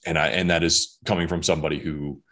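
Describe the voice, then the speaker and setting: A man's voice: a bass voice, steady tone, fast, bright, forceful tone. A young man talking casually as a podcast guest, rambling with many fillers and restarts. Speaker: guest author.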